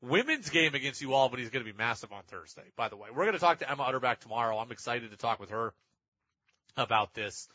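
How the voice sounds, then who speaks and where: A man's voice: mid-range voice, animated, quick pace, sharp tone, no room sound, lo-fi sound. A man hosting a sports talk radio show, giving emphatic commentary and repeating phrases for stress.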